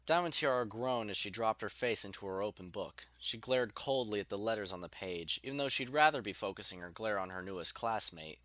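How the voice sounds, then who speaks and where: A man's voice: lowish voice, brisk, hardly any pauses, sharp tone. A man reading scripted story narration as the narrator of an audiobook.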